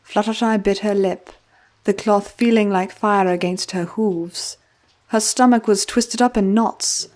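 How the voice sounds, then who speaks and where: A woman's voice: medium pitch, steady tone, a little slow, a little mellow. A woman reading scripted story narration as the narrator of an audio drama. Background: music.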